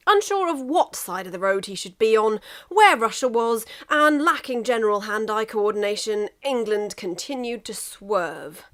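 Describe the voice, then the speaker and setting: A woman narrating a comic piece of fan fiction in an expressive, emphatic storytelling manner. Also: on the high side.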